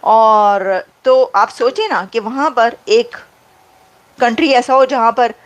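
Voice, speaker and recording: A woman's voice: fairly high pitch, slow pace. A woman giving conversational commentary on the news.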